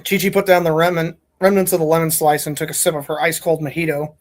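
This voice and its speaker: Casual, unhurried woman podcast host. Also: deep voice, little pitch movement, darkish tone. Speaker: podcast host and fan fiction reader. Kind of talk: casual chat and reading fan fiction aloud.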